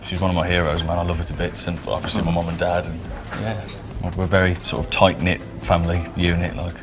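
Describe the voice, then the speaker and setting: An actor as an easygoing British man on a date, attentive and flirty. His voice is very low and his delivery casual, with music clearly playing behind him. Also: level tone, fast talker, close to an everyday voice. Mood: affectionate. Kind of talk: naturalistic improvised dating-scene dialogue. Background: background music.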